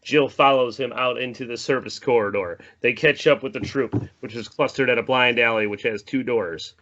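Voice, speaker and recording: A man's voice: mid-range voice, moderate pace, fairly bright tone, muffled recording. A man reading screenplay stage directions aloud as narrator at a table read.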